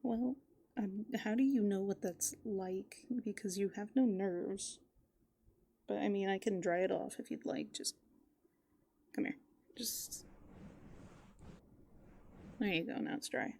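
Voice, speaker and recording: A woman playing a playful, easygoing raccoon in an ASMR roleplay, soft-spoken and casual, often dropping into whispers. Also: mid-range voice, slow pace, creaky, long pauses, slight background noise. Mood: gentle, casual. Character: playful, easygoing female raccoon living in a dumpster. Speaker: ASMR host.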